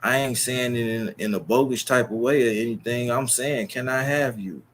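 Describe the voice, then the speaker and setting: A man's voice: medium pitch, moderate pace, a little hiss or hum. A young man with a Chicago accent, talking straight to his viewers in a long, boastful, profane and rambling monologue. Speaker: YouTube streamer.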